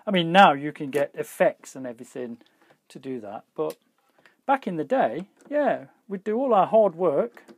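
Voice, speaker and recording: A man with a slightly husky voice gives casual hands-on repair commentary, thinking aloud informally as he works. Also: a little high, animated, normal speed, no room sound. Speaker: repair hobbyist presenting a video.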